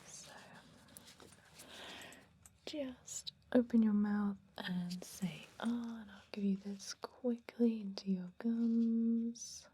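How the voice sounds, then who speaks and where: A woman whispering an ASMR roleplay as a calm, businesslike vampire dentist, giving gentle, soft-spoken instructions. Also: on the high side, extremely slow, a little hiss or hum.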